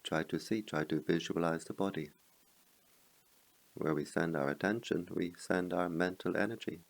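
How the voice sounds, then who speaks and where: A man with a very low voice and a heavy, creaky fry guides a meditation. He speaks as a teacher, in short, measured phrases. Long pauses separate his slow instructions. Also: fairly even intonation.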